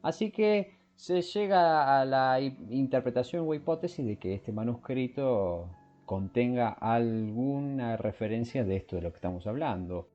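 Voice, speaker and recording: A man hosting a podcast, explaining things informally and often pausing to search for his words. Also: mid-range voice, expressive, lo-fi sound, soft background music. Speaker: podcast host. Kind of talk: scripted intro and informal explanatory talk.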